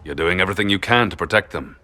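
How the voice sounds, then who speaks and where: An actor playing a grave, battle-weary champion in a fantasy video game, measured and earnest. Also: male voice, low-pitched, animated, sharp tone. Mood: weary, reflective.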